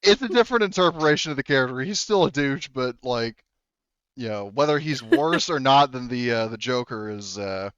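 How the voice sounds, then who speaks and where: A man with a smooth, resonant voice runs a tabletop roleplaying game. He improvises narration in casual, profane language and relays characters' replies. The sound is close and dry, a little dull. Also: mid-range voice, lively intonation, a little fast, fairly bright tone, no room sound. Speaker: game master.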